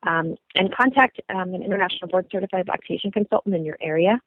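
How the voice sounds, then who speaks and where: A woman who is a lactation consultant gives calm, instructive advice with frequent ums, heard over a phone-like recording. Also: fairly low pitch, fast talker, no room sound. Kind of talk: advice answer. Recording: phone-like, narrow band.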